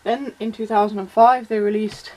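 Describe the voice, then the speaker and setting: A woman with a croaky voice and a sore throat from a cold, chatting casually as she explains toy features in a vlog. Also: mid-range voice, fairly even intonation, normal speed, rough recording. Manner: chatty, many fillers, reads out shout-outs. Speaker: toy collector vlogger.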